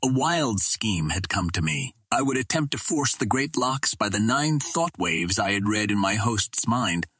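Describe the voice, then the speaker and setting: A man reading a first-person adventure novel as an audiobook narrator, with measured, literary storytelling delivery. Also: on the low side, very animated, a bit bright, slightly rough, no room sound, clean studio sound.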